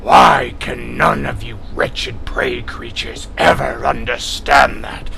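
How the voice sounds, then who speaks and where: A man's voice: mid-range voice, fairly even intonation, takes it slowly, sharp tone, faint music behind, full character voice. A man playing a proud, fierce alien cat warrior in a sci-fi audio drama, haughty and declamatory, full of contempt for humans. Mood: angry. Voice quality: gravelly, growling.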